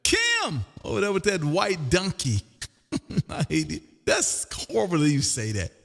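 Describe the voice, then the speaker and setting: A loud, folksy man with a slightly high voice, chatting as a ham radio operator. He is exuberant and tends to repeat himself. The sound carries a long, roomy reverb. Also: huge pitch swings, unhurried, gravelly. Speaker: amateur radio operator hosting a live stream. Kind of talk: casual ham radio chat. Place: home radio room.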